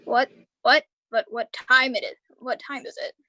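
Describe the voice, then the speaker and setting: A woman playing a weary, heartbroken uncle in a live radio drama, with halting delivery. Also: high-pitched, steady tone, pushed and bright, no room sound. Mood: groggy. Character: weary, heartbroken uncle, slowly recovering after misfortune.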